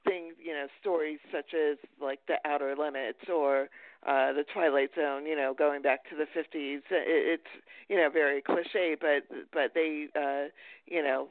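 A woman's voice: deep voice, steady tone, measured pace, dry recording. A woman hosting a casual interview, speaking in a hesitant, meandering way. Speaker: podcast host, interviewer. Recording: tinny phone-like line, dry.

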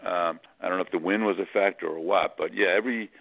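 Low, gravelly man on muffled radio. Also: fairly even intonation. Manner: halting, repeats words and restarts often. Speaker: guest sports columnist. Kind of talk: casual sports talk.

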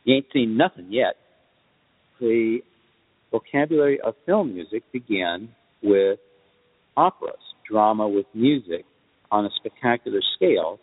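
A man with a lowish voice explains in a measured, lecturing interview style. The sound is muffled and phone-like, with a reverberant tail. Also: lively intonation. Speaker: composer guest.

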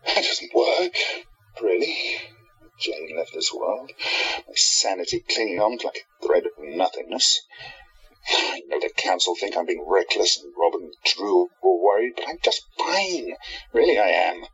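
A man playing an irritable, stubborn, grieving bookshop keeper in a radio play, husky and dramatically self-justifying. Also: sits low, big pitch swings, a little slow, a bit bright, highs cut off, exaggerated voice. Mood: bored, brooding.

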